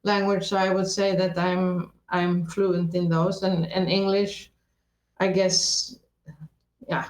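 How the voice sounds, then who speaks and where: A Norwegian-born woman raised in Sweden, with a low voice. She talks thoughtfully as an interview guest, often restarting and correcting herself. The sound is echoey, as if recorded in a bare room. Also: level tone, slow, a little mellow. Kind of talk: reflective conversational interview.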